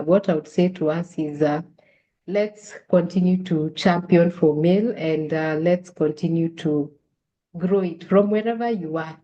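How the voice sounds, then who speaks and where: A woman's voice: low voice, warm tone. A woman facilitator giving earnest advice at an online meetup, restarting her phrases now and then. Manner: earnest, advising, with frequent restarts. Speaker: guest facilitator. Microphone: video call. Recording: dull lo-fi call audio.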